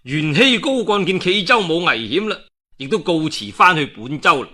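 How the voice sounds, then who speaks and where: A man with a Cantonese accent telling a historical tale in a dramatic, colloquial and expressive oral storytelling style. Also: fairly high pitch, normal speed. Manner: colloquial and expressive, with rhetorical asides.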